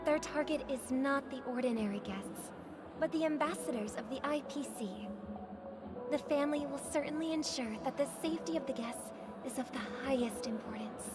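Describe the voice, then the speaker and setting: Game casting: high female voice actress in role, courteous celebrity singer, measured and soothing, music playing prominently behind. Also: close to an everyday voice. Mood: diplomatic, composed. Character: poised, courteous famous songstress soothing anxious guests. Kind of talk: video game voice acting.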